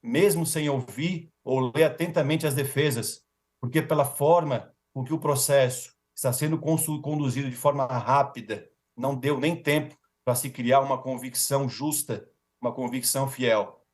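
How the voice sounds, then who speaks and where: A man's voice: medium pitch, normal speed, darkish tone. A Brazilian man speaking as a defense lawyer in a formal, rhetorical speech with emphatic repetition and ceremonious forms of address.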